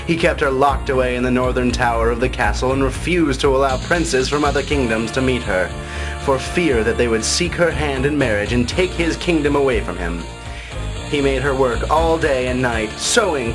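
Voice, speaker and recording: A man reading a scripted fairytale as a storybook narrator. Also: medium pitch.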